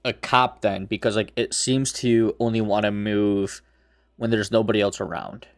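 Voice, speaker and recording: Lowish-voiced man in casual game discussion. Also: fairly even intonation, moderate pace, no echo, studio quality. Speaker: tabletop game player.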